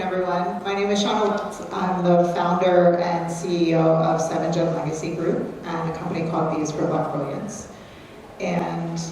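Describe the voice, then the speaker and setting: A woman's voice: lowish voice, a little mellow, a little hiss or hum. A woman introducing herself on a panel, earnest and reflective, recorded far off in an echoey hall. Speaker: founder and panelist. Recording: roomy hall sound from far off.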